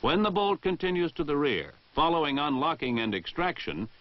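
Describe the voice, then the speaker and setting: A man's voice: a little high, big pitch swings, dry recording. An American man reading a formal, precise instructional narration in the style of a 1960 military training film. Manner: formal, precise, measured enunciation. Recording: dull 1960 film soundtrack.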